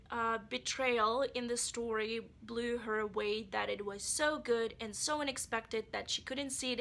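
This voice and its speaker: A woman's voice: fairly high pitch, gravelly, bright, forceful tone. A woman giving a chatty, rambling book review and speaking straight to her viewers, her voice a bit off from being sick. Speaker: book vlogger. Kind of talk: casual book review vlog.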